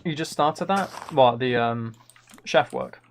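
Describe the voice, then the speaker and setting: A man's voice: medium pitch, slow pace. Man chatting casually over noisy keyboard clicks. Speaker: livestream host. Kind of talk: casual gaming livestream chat. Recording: clear background noise, keyboard clicks.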